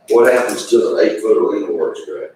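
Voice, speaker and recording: A man's voice: fairly low pitch, a little mellow. A polite, plain-spoken man, speaking casually and deferentially as an employee at a meeting. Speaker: county employee addressing commissioners. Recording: echoey meeting room, distant mic, faint noise.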